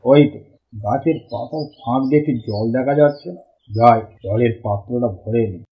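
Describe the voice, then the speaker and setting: A man's voice: mid-range voice, normal speed, background noise, natural voice. A man voicing a cartoon wandering holy man who talks in a fatherly, lofty way. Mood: weary. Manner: speaks condescendingly to villagers as children. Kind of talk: cartoon voice acting. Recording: dull sound with music behind.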